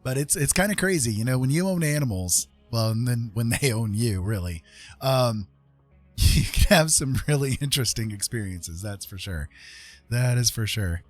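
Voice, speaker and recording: A man's voice: medium pitch, lively intonation, normal speed, no echo. Man telling casual stories over music. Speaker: livestream host. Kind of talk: casual storytelling. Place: indoor livestream with music behind.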